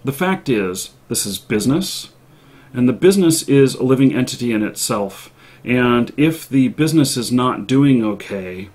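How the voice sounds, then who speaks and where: A male live stream host with a middle-pitched voice talks through his advice informally. He is deliberate and halting, often pausing on drawn-out filler sounds before finishing a thought. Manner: halting, deliberate delivery with frequent long pauses and filler sounds. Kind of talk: casual advice monologue.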